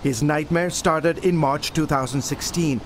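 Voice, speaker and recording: A man narrating a television news report in a measured reporter style. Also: on the high side, noisy background.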